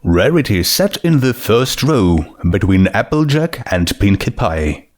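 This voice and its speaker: Slow, measured male story narrator. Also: lowish voice, very animated. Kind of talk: scripted fiction narration.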